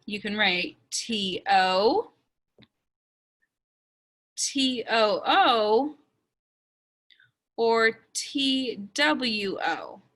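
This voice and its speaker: Slow, bright-voiced woman teaching children. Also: a little high, very animated. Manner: sounds out words slowly, stretching letter sounds. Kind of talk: slow, step-by-step writing lesson for young children.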